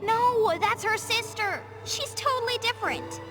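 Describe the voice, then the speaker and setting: A very high, young girl's voice for a story game. She is earnest, bossy and precise, a little know-it-all, over clear background music. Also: a little slow. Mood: bossy, playful. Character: bright, bossy, bookish little girl who loves bugs. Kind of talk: naturalistic video game acting.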